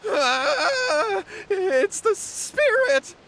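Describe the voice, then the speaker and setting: A man's voice: very high voice, sing-song, extremely slow, heavily acted. An actor playing an anxious, timid young man in a video game, earnest and somewhat whiny. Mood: terrified. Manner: earnest, whiny, easily panicked.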